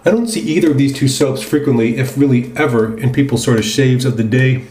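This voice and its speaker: Male vlogger, medium pitch, casual unhurried review talk, roomy echo. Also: darkish tone. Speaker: presenter of a wet shaving video. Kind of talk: casual product review chat while shaving. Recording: roomy, echoing indoor sound.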